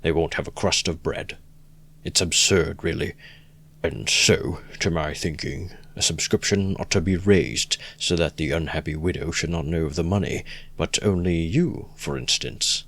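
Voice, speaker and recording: A man doing a pompous, calculating gentleman. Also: low voice, vocal fry, slightly rough, heavily acted. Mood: measured. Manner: measured, pompous, condescending. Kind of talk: audiobook reading.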